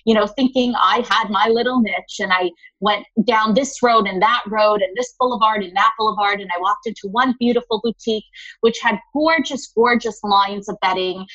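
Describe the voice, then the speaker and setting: A woman's voice: medium pitch, normal speed, sharp tone, dull audio. A woman who runs a linen store, chatting in a podcast interview and often calling the listener honey. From Los Angeles area.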